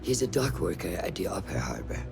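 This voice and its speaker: A man playing a stern, commanding senior assassin and mentor in a video game, speaking gravely and deliberately. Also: low-pitched, very animated, over loud music, full character voice. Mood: grave, instructive. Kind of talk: cinematic game dialogue.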